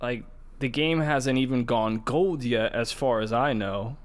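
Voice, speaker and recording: Man, medium pitch, podcast co-host, casual chat, informal enthusiastic fan talk. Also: a little slow. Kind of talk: casual chat about video games and movies.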